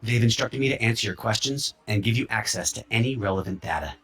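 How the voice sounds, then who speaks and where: An actor playing a guarded, evasive company employee in a video game. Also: male voice, mid-range voice, quick pace, a bit bright. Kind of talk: video game dialogue acting.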